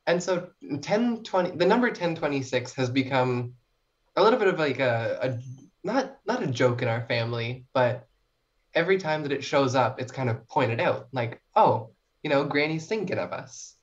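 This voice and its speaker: A woman's voice: a bass voice, animated, moderate pace, muffled recording. A young woman talking casually as a podcast guest, in a chatty, informal and self-reflective way. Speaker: podcast guest, short story writer. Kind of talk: casual interview chat.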